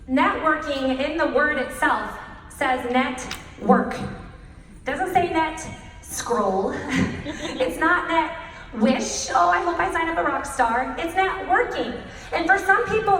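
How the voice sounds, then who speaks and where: A woman guest speaker with a husky voice, talking to an audience in a lively way and laughing often. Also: high voice. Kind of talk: presentation to an audience. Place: large echoey hall. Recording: roomy hall recording from a distance.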